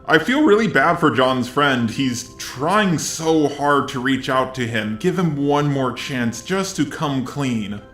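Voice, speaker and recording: A man's voice: medium pitch, animated, takes it slowly, hardly any pauses, a bit bright, roomy sound, soft background music. A synthetic robot male voice delivering scripted video commentary.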